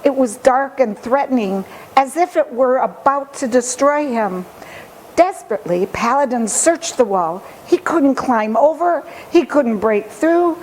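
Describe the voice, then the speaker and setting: A woman narrates a children's story in a fairly high voice. She takes her time, phrasing each sentence deliberately and dramatically. There is faint noise in the background of the recording. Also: expressive, darkish tone, rough recording. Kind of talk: expressive children's story reading.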